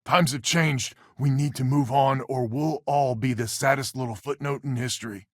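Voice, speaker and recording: A man's voice: mid-range voice, fairly even intonation, normal speed, dry recording, clean studio sound, natural voice. An actor playing a wry, weary male space colonist who heads a settler family in a video game, with a folksy, sardonic manner. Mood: wry, warm.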